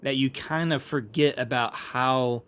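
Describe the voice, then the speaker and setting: A man co-hosting a podcast, discussing films and storytelling casually in a halting, rambling way. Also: mid-range voice, fairly even intonation, a bit bright. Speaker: podcast co-host.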